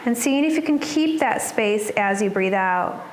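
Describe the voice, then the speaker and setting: Slow-paced woman guiding yoga in echoey room. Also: mid-range voice, lively intonation. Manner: soothing, unhurried cueing with pauses. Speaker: yoga instructor. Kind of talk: guided yoga class instruction. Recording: roomy echo with background noise.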